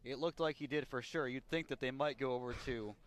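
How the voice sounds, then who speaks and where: A man calling baseball play-by-play for radio in a measured delivery that builds on big plays, working as a broadcaster at an outdoor ballpark. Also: medium pitch, steady tone. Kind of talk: radio sports commentary.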